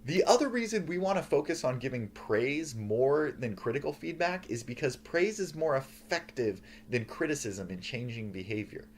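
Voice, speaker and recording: A male professor with a loud, booming voice, giving an earnest lecture on feedback with lots of "uh" and "um" fillers. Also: normal speed, hardly any pauses, a little hiss or hum. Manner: earnest, lively, frequent "uh" and "um" fillers.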